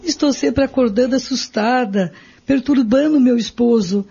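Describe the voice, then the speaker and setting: A woman playing a weary, anxious married woman in a radio drama, with a husky, creaky voice. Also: a little high, normal speed, mellow. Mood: weary, troubled. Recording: dull lo-fi radio play recording.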